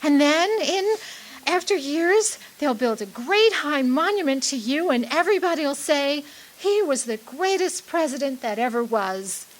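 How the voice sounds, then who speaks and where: Woman acting high-voiced adoring young sweetheart. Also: animated, measured pace, poor audio. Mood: adoring, hopeful. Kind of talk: radio drama acting.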